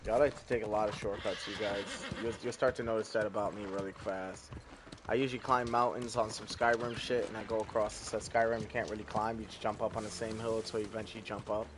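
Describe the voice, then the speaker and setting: A man's voice: lowish voice, flat delivery, quick pace, noisy background. A man streaming a game, chatting casually to viewers in a laid-back, rambling way with casual swearing. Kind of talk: casual gameplay commentary.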